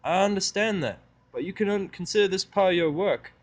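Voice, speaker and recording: A man plays a country farm pony mare for an audio drama. The voice is lifted in pitch and folksy, with a friendly, sly manner of a boss used to getting her way. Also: fairly quick. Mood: coaxing. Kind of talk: fan fiction audio drama acting.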